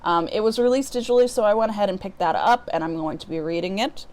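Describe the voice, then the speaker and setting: A woman's voice: medium pitch, fast talker, darkish tone. A woman chatting casually to viewers as a book vlogger, talking in an informal, self-deprecating way.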